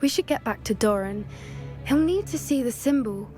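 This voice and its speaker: A woman's voice: a little high, animated, moderate pace, darkish tone, soft background music, close to an everyday voice. A woman voicing an earnest young student girl. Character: earnest young female student, gentle creature lover. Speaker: game character. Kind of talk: video game dialogue.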